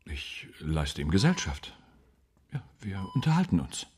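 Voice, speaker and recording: An actor playing a gloomy, devout priest in a radio drama, speaking in a grave, despondent manner. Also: male voice, low voice, very animated, measured pace, darkish tone, husky, exaggerated voice. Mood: calm, solemn. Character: gloomy, devout priest living with a foreign arm.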